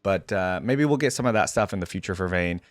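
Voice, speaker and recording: A man's voice: deep voice, expressive, quick pace, no echo, studio quality. A man giving casual game reaction commentary in an informal, chatty way, thinking aloud as he goes. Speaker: reaction video creator.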